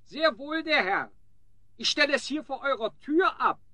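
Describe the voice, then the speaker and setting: A man's voice: very high voice, lively intonation, normal speed, pushed and bright, full character voice. A man playing an indignant rogue henchman in an audio drama, with a blustering, theatrical manner. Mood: falsely polite.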